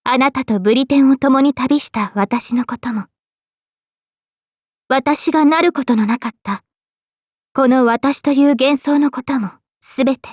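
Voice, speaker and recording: A woman voicing a gentle, dignified young female knight in a game, speaking politely and with composure. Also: high voice, a little slow, long pauses, no echo, studio quality. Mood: calm, explaining. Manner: polite, composed, formal speech. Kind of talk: scripted game character voice lines.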